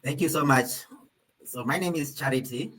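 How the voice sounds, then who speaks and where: Husky-voiced man introducing himself. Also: fairly high pitch, moderate pace, fairly bright tone, rough recording. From Zimbabwe. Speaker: panel guest, teacher. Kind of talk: self-introduction on a panel.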